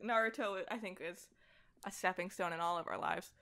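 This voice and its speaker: A woman's voice: fairly low pitch, steady tone, moderate pace, a bit bright. A woman talking as a podcast guest in an informal, enthusiastic conversation. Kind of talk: casual chat.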